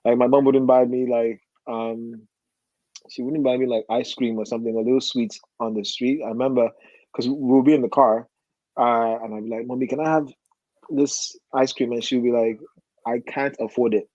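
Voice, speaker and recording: A man, telling personal stories casually and haltingly as a guest, often rephrasing as he reflects. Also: medium pitch, steady tone, warm tone, no echo, muffled recording. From Nigeria. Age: 40s. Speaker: podcast guest.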